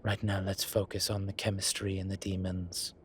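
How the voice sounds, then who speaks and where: A man's voice: sits low, completely flat delivery, normal speed, a little hiss or hum, lightly acted. A man playing a vain, suave incubus demon who poses as a posh professor in an audio roleplay, with a wry, self-satisfied drawl. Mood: exasperated, dry. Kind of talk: scripted audio roleplay acting. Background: ambient sound effects.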